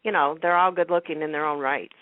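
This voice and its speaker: A woman's voice: low voice, steady tone, fast, no room sound. A woman hosting a radio talk show in a casual, chatty style. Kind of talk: casual chat about TV soaps. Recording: muffled, narrow phone-like line.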